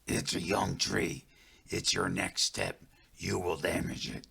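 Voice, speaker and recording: A calm, stern forest spirit guide speaks in a hushed, husky whisper, as a male storyteller puts him on. His words come slow and measured, low and gravelly. It is a solemn storybook voice for a wise woodland mentor. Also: heavily acted.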